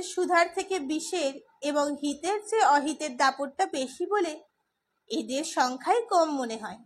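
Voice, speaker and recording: A woman with a very high, girlish pitch narrates a literary story. She reads scripted prose in an expressive storytelling manner. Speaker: audiobook narrator.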